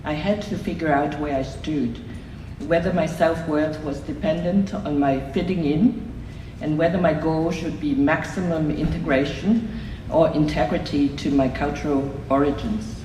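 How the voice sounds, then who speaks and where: An older woman from Hong Kong reading a prepared award acceptance speech in a measured, reflective way, recorded from afar in a reception hall. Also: low voice, steady tone, warm tone. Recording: echoey hall from far off, noisy room.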